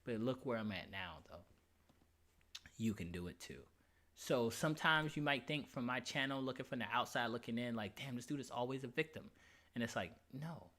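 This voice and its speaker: Casting: man, late 20s, bright forceful voice, candid livestream host reading chat. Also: mid-range voice, moderate pace. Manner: candid, reads chat aloud, emphatic slang. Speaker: streamer.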